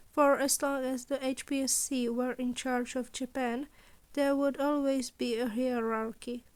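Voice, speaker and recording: A woman narrates a written story for a podfic. Her voice is notably high, and she delivers the prose as scripted storytelling.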